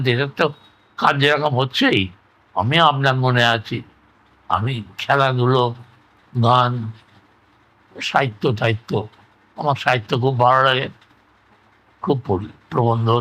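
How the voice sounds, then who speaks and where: An elderly man speaks at an average male pitch. He reminisces in a reflective, conversational way. His delivery is halting, and he often circles back to repeat words. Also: bright, forceful tone, rough recording. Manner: halting, reflective, trailing into repetitions. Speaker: interview guest, retired engineer recalling life. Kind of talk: reminiscing in casual oral-history conversation.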